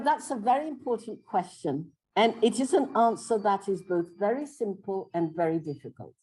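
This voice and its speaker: A woman professor giving a reflective answer, thoughtful and deliberate, with pauses between phrases. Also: mid-range voice, warm tone, rough recording. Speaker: professor, invited speaker.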